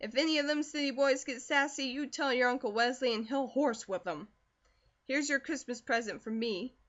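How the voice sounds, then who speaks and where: A woman doing a hearty, booming farmer neighbour. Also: normal speed, a bit bright, close to an everyday voice. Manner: booming, folksy. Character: hearty, jovial older male farmer neighbour. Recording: dull lo-fi recording.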